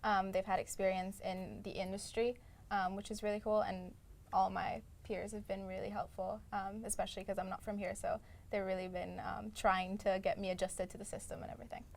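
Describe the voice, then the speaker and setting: A woman's voice: medium pitch, flat delivery, fairly quick, creaky. A young woman from Italy, chatting as a student guest with frequent "um"s. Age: young adult. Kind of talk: casual interview answers.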